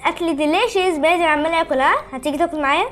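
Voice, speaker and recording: A woman's voice: extremely high pitch, very fast. A woman with an Egyptian accent chatting casually in a whiny, protesting way as she co-hosts a gaming video. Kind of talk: casual chat while playing a game.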